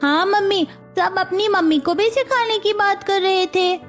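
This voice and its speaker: A woman's voice: very high voice, unhurried, a bit bright, faint music behind. A woman voicing an eager, cheerful young schoolchild in a cartoon story. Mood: excited. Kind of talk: cartoon child acting.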